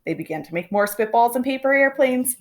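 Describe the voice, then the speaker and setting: A woman reading a children's picture book aloud in an expressive narrating style. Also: fairly high pitch, brisk. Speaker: storybook reader.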